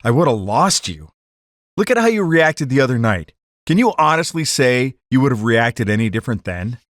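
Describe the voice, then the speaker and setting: A man's voice: mid-range voice, big pitch swings, moderate pace, dry recording, studio quality, natural voice. A man playing a hot-headed card-cheating gangster telling his story in slangy 1940s radio drama style. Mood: rueful.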